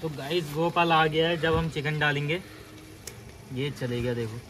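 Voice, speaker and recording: A man's voice: fairly high pitch, moderate pace. A man vlogging casually outdoors, talking to viewers and prompting his friends. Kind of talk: casual handheld vlog chat with friends. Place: outdoors at a forest camp. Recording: noisy handheld outdoor camping audio.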